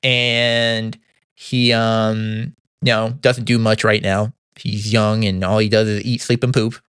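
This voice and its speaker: A young man hosting a podcast, giving a casual monthly recap in an upbeat, halting way with many restarts and a throat that sometimes sounds slightly gravelly. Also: lowish voice, flat delivery, fairly bright tone, dry recording, studio quality. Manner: upbeat, laughs easily. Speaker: podcast host.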